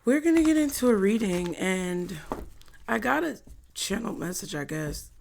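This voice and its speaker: A hoarse woman giving a casual, chatty tarot reading to her viewers. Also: medium pitch, lively intonation, darkish tone, slight background noise. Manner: slow, chatty, laughs often. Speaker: tarot reader.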